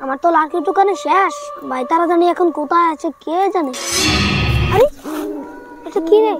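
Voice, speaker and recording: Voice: very high voice, moderate pace, over music. A performer playing a woodcutter's child alone in the jungle in an amateur horror drama, muttering repetitively to themselves. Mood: unsure, searching, then frightened. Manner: talking to self, repetitive.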